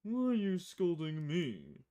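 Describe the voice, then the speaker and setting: A woman's voice: on the low side, huge pitch swings, slow, mellow, pitched above the performer's own voice, exaggerated voice. A woman voicing an impatient, grumbling male private detective in a visual novel. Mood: exasperated. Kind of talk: visual novel character voice, film noir dialogue.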